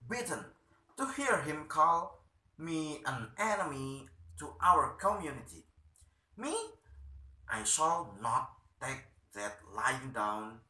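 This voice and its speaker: A man playing an indignant, stubborn middle-aged idealist doctor in a play, defiant, exclamatory and halting. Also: on the high side, sing-song, bright, forceful tone, echoey room, recorded from a distance, heavily acted.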